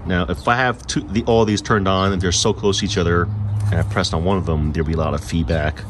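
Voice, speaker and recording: A man's voice: deep voice, fairly even intonation, fast. A man giving a casual, matter-of-fact hands-on product review as the host of his channel. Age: adult parent of young children. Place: outdoors, patio table and residential sidewalk. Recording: clearly noisy outdoor recording, traffic hum.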